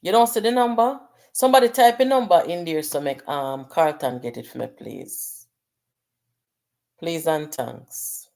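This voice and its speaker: A middle-aged woman with a Jamaican accent hosts a casual livestream and talks straight to her viewers. Her voice sits very low for a woman, and she moves easily between patois and English as she reads out comments. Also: measured pace, vocal fry, darkish tone. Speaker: livestream host.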